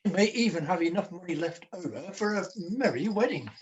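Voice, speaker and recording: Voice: medium pitch, expressive, a little fast, dull audio, a little hiss or hum, close to an everyday voice. A performer playing a flustered, scheming jester in a pantomime, declaiming in florid mock-archaic language. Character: Jack Point, a wordy, scheming, flustered jester. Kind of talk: theatrical pantomime acting.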